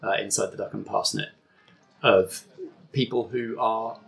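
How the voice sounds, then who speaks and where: A man's voice: fairly low pitch, measured pace, creaky, soft background music, rough recording. Man running a tabletop game. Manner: relaxed, descriptive narration. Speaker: game master.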